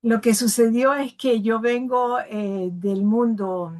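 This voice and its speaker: Woman from el salvador, talks real slow and measured, keeps tossing in little question tags. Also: on the high side, steady tone, a little mellow. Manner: measured, often tags phrases with a questioning aside. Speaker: guest giving a testimonial. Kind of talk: unhurried personal testimony.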